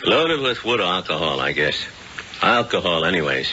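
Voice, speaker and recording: An actor playing a hard-nosed police lieutenant, blunt and businesslike, in 1970s radio drama style. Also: male voice, sits low, big pitch swings, moderate pace, bright, forceful tone, full character voice. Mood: stern, determined. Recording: dull noisy old radio recording.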